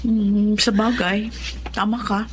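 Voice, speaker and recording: An actress with a Filipino accent playing a supportive, teasing best friend in a radio drama. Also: female voice, medium pitch, little pitch movement, takes it slowly, a little hiss or hum, lightly acted. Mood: curious, sympathetic.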